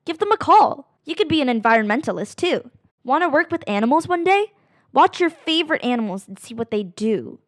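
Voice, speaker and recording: A woman reading a children's picture book aloud in an animated, expressive way, drawing out phrases for dramatic emphasis. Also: high-pitched, fairly quick, no echo. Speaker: read-aloud narrator of children's books.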